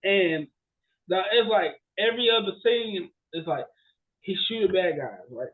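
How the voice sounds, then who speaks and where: Guy w a pretty high voice just chatting on a podcast, rambly and animated. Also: measured pace, phone-quality sound. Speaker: podcast co-host. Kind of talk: casual chat about bad movies.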